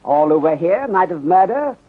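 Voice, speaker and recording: A thin, band-limited old radio drama recording carries a shrewd, courteous detective. The voice is husky and a little high. He speaks in measured, formal phrases that drop small words. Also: very animated. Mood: courteous, instructing. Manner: measured, formal, clipped phrasing without articles. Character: courteous, shrewd Chinese detective inspector with aphorisms. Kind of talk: 1930s radio acting. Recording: muffled phone-like 1930s radio recording.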